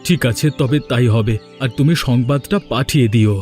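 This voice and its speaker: A man playing a weary but gently wily village family man in a cartoon, talking in a plain conversational way. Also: medium pitch, moderate pace, soft background music, close to an everyday voice. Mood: curious. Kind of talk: cartoon dubbing.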